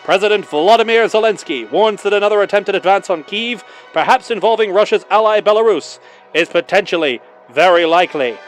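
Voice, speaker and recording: A high-pitched, declamatory wartime newsreel announcer in the 1940s manner, put on by a man. He delivers patriotic narration with rousing drama, and music plays behind him. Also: natural voice.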